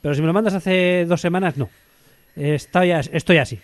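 A man's voice: on the high side, brisk. A man from co-hosting a radio show, chatting casually and making fun of himself. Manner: self-mocking, joking storyteller. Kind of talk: casual on-air chat.

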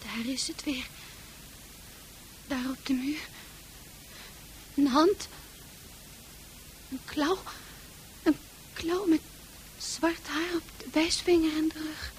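An actress playing an agitated, frightened female psychiatric patient in a radio drama, halting and repetitive. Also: high voice, fairly even intonation, a little hiss or hum, poor audio. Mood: fearful, hushed.

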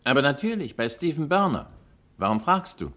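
An actor playing a well-off, polite and mildly indignant husband in a German radio crime play. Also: male voice, fairly high pitch, big pitch swings, a little fast, fairly bright tone. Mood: assured, indignant.

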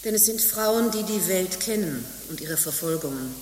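An actress playing a worldly, stern abbess in a German radio play, speaking formally and theatrically. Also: female voice, on the low side, expressive, normal speed, darkish tone, a little hiss or hum, natural voice. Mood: formal, gracious. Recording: long reverb, music behind.

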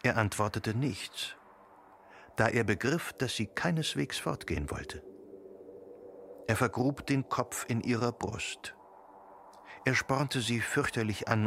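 A man narrating a literary radio play, reading in a measured, articulate way. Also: lowish voice, fairly bright tone, slight background noise.

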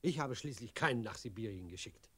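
An actor in a 1940s German radio play, playing a pompous, glib cabaret director with a declamatory, patronising delivery. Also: male voice, lowish voice, expressive, a little fast, natural voice. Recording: vintage 1940s radio broadcast recording, dry.

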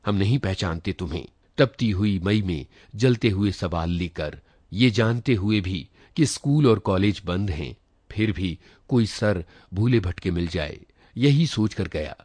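Low-pitched man reading a story, slightly husky. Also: animated, unhurried, a little mellow, no room sound. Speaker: story reader. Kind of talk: expressive literary short story narration.

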